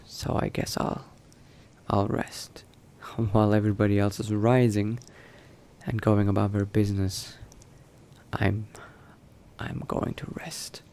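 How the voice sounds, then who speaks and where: A man playing a cautious, brooding newly turned vampire, thinking aloud in hushed, halting phrases in a video game roleplay. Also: on the low side. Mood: weary. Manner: hushed, halting self-talk with sighs and pauses. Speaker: roleplay gamer. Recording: noticeable background noise.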